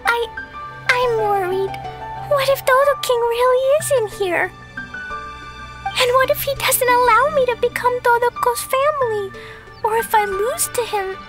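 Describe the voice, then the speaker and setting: A woman's voice: extremely high pitch, a little slow. A woman voicing an earnest, childlike little girl adventurer in a video game. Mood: worried, scared. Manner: childlike, wide-eyed, earnest. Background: game music.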